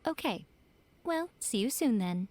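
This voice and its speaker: A woman voicing a composed fox shrine maiden in a video game, poised, gently teasing and secretly tender. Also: on the high side, big pitch swings, measured pace, a little hiss or hum. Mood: lightly teasing. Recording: game music behind the voice.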